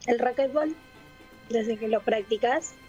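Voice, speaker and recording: A woman co-hosting a radio interview, asking polite, measured questions. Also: a little high, a little mellow, dull audio, faint music behind. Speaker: radio co-host.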